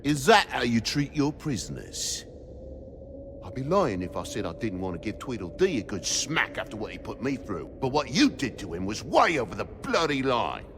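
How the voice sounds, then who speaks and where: A man's voice: mid-range voice, sing-song, normal speed, noisy background. An actor with a British accent voicing an irascible, protective toad father in a video game, blustering and indignant. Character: irascible, foul-mouthed toad father, protective of his son.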